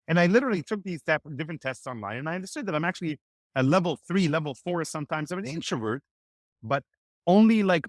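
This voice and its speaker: A man whose first language is Hebrew, giving energetic, motivational networking advice as a podcast guest. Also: mid-range voice, dry recording. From Israel. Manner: energetic, motivational, acts out quoted dialogue. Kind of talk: animated podcast interview.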